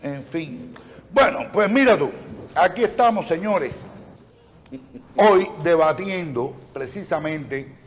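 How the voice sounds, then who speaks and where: A middle-aged man hosts a talk show in a joking, expressive way, full of quick exclamations. His voice sits fairly high for a man, with faint noise behind him.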